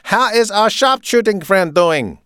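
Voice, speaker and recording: A man's voice: a little high, sing-song, a little slow, smooth, dry recording, clean studio sound, voice pushed up. A man voicing a puny, authoritarian German prison camp commandant in an audiobook, with a staccato, demanding German accent.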